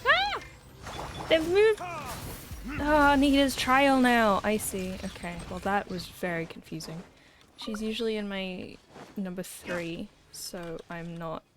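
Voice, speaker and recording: A woman's voice: medium pitch, lively intonation, slow, noisy background. A woman doing casual live commentary as she streams a video game, muttering reactions as she plays. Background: game music.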